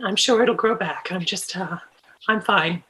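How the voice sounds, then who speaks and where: A woman's voice: mid-range voice, bright, forceful tone, slight background noise, poor audio. An actress reading a frazzled mother who insists everything is fine and barks orders at her kids in a table read. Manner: brisk, bossy, keeps brushing off pain.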